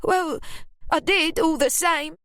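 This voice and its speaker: A woman reading an audiobook, voicing a brash, self-pitying woman who blusters and quickly loses her temper. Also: very high voice, steady tone, a bit bright, dry recording, studio quality, pitched above the performer's own voice, heavily acted. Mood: defensive. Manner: whiny, defensive, blustering.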